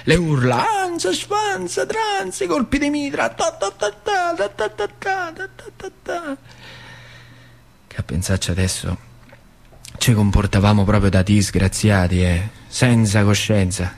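A man's voice: a little high, wildly swooping pitch, measured pace, vocal fry, exaggerated voice. An actor playing an old factory worker recalling his boyhood in a colloquial theatrical monologue, with a rural central Italian accent from northern Lazio. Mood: excited, crying out, then reflective. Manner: colloquial, vivid, swinging between hushed and cried-out delivery. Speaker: stage actor performing a monologue. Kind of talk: theatrical storytelling monologue for radio.

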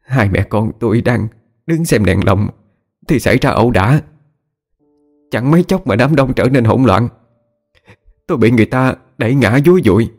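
A man's voice: medium pitch, lively intonation, normal speed, long pauses, a little mellow, faint music behind, pitched above the performer's own voice. A man voicing a frightened, wailing middle-aged wife, pleading and stammering in an audio horror story. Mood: distressed, explaining.

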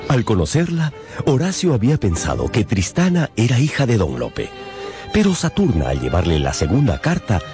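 A man's voice: medium pitch, wildly swooping pitch, moderate pace. A man narrating a radio drama in a dramatic, scripted storytelling style. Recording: music behind the voice.

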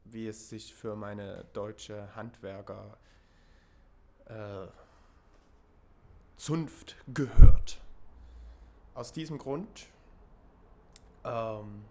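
Very slow-talking man hosting radio. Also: on the low side, steady tone, vocal fry, mellow, a little hiss or hum. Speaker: radio show host. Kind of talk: live radio show introduction.

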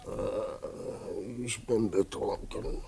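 A befuddled drunk servant, a coachman in a radio drama. The voice is low and gravelly, dragging extremely slowly through slurred, rambling phrases. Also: fairly even intonation, warm tone, heavily acted. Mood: drunk, confused. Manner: slurred, slow, rambling, halting. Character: drunken, befuddled male coachman, good-natured old servant. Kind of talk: German radio play dialogue.

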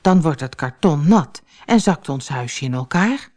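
A woman's voice: sits low, lively intonation, a little slow, a little mellow. A woman voicing a gloomy, worried old husband in a storybook fairy tale, anxious and resigned. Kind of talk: storybook character voice.

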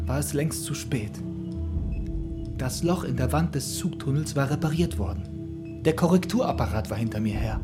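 A man's voice: mid-range voice, lightly acted. A man playing Kuno, a haunted rebellious son. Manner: intense, haunted storytelling that swells to shouting. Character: young man, rebellious son who escaped underground world. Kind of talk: radio play acting.

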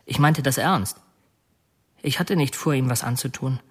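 A bitter, oddly soft-hearted murderer in a radio play, telling his story in a matter-of-fact way at a middling pitch. He halts and picks up again as he recalls each detail. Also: a little slow, slightly rough. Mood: grim, confessing. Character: confessing male killer, bitter, brooding, oddly soft-hearted. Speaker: actor narrating as a murderer. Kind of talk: radio drama confession monologue.

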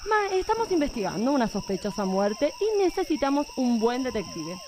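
An actress playing a stern, exasperated judge in a comedic radio drama, with an Argentine accent and a curt, authoritative manner. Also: female voice, high-pitched, sing-song, normal speed, slight background noise. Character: stern, exasperated female judge bossing an inept inspector.

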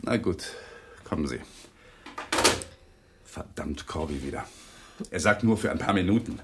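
An actor playing a young, uneasy lawyer with an evasive, placating manner in a German radio crime drama. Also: male voice, low-pitched, animated, unhurried, heavy background noise.

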